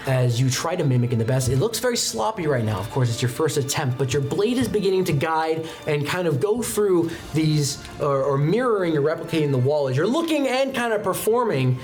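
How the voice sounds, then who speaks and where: A man's voice: on the high side, very animated, a little fast, few pauses, darkish tone. A man runs a tabletop game as its storyteller. He describes scenes dramatically and slips into casual asides, with music playing underneath. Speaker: game master. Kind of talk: narrating a tabletop roleplaying game.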